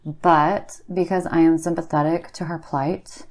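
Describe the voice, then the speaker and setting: A woman's voice: sits low, steady tone, measured pace, darkish tone. A woman playing a bored, pushy, petulant friend in a comic phone-call sketch, insistent and sarcastic.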